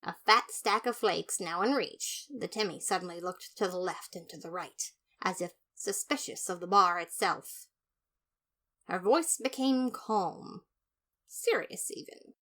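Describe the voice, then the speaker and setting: A woman's voice: on the low side, a little slow, bright, forceful tone. A woman narrating a first-person comic detective story in a dramatic, mock-serious manner. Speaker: fan fiction narrator.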